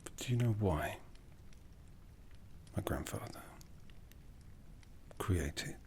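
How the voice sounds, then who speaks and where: A man playing an ancient, jaded, aristocratic vampire in a breathy, half-whispered ASMR roleplay, haughty and seductive with long deliberate pauses. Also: deep voice, lively intonation, darkish tone. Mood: weary, disdainful. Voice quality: breathy, often dropping into whisper.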